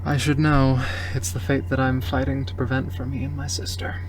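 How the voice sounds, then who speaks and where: A man voicing an accomplished female cybernetic doctor in a roleplay, with formal, deliberate, precise and guarded speech. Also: mid-range voice, monotone, a little hiss or hum, natural voice, poor audio. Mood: somber. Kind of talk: improvised roleplay dialogue.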